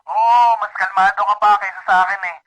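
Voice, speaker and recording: Male, Filipino accent, acting as encouraging best pal in radio drama; forward bright tone, casual, a touch high. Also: level tone, a little fast, poor audio. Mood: upbeat, teasing. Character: upbeat supportive married male buddy, slightly doubtful.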